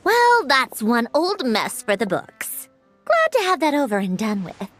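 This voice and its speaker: Proud young genius woman, sharp-tongued, highish voice, dry haughty delivery, measured pace, video game. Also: huge pitch swings, heavily acted. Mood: brisk, flustered. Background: background music.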